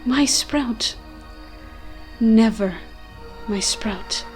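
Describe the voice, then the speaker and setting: A woman's voice: on the high side, extremely slow, a little mellow, soft background music. A woman voicing an ancient, moss-covered forest demon in an audio roleplay, tender and protective, speaking in solemn, poetic, archaic storytelling phrases. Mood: bitter, protective anger.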